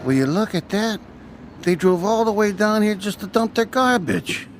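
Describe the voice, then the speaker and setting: An actor playing a nervous, timid street bum in a radio drama, whining in anxious slang. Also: male voice, sits high, moderate pace, background noise. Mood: uneasy.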